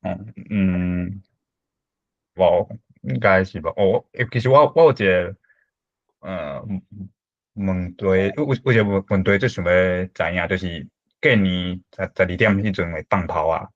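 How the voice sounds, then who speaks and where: A man with a Taiwanese accent chatting casually as a participant, halting as he forms questions, in slightly dull audio. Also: sits low, dry recording. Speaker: discussion participant. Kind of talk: casual chat.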